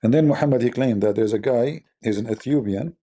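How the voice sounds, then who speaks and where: A man's voice: medium pitch, fairly quick, dark tone, husky. A man with an Arabic accent, speaking as host of a live debate stream in a blunt, scornful manner.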